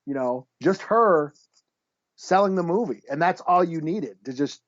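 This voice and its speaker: On a slightly muffled, lo-fi recording, a man with a fairly high voice chats as a podcast co-host. His delivery is informal and loose, full of everyday slang. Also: animated, measured pace. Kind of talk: casual chat. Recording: dull lo-fi audio.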